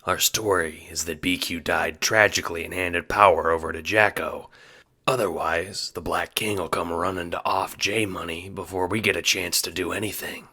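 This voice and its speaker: A low, bright-edged gangster strategist for an audio drama, played by a man. He lays out plans in a measured, practical way. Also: fairly even intonation, husky, full character voice. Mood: matter-of-fact. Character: level-headed male gangster strategist, practical second-in-command.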